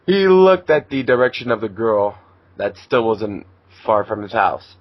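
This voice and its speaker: A man's voice: lowish voice, very animated, unhurried, dry recording. Male fanfic narrator, dull lo-fi mic. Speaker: fan fiction reader and narrator. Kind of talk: amateur story narration and casual chat.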